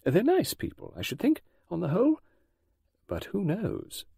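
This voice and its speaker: A man's voice: high-pitched, wildly swooping pitch, measured pace, creaky, mellow, husky, dry recording, studio quality, pitched above the performer's own voice, full character voice. A man voicing a flustered, apologetic middle-aged woman novelist in a storybook, hesitant and given to musing and exclaiming.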